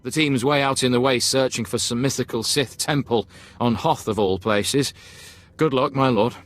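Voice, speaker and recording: An actor playing a cautious, deferential imperial officer in a video game, formal and obsequious. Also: male voice, medium pitch, moderate pace, a bit bright, natural voice. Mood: deferential, wary. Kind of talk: game voice acting.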